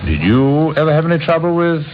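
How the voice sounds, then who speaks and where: A musing, expressive police inspector. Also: male voice, fairly high pitch. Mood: probing, polite. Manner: hesitant, musing, with hums and pauses. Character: methodical, dryly wry male police inspector. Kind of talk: old-time radio crime drama acting. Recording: dull, noisy old radio recording.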